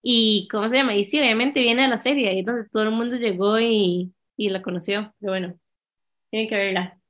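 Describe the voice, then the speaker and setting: A woman's voice: medium pitch, steady tone, a bit bright. Gushing Costa Rican woman host. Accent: Costa Rican Spanish. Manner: gushing, fast, colloquial. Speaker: podcast host. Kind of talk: casual podcast chat.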